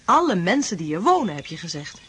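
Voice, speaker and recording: Radio drama female lead: fond young lodger, direct and coaxing, lively pitch, slightly noisy recording. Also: fast. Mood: reproachful. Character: affectionate young female lodger, playful and practical. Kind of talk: Dutch radio drama acting.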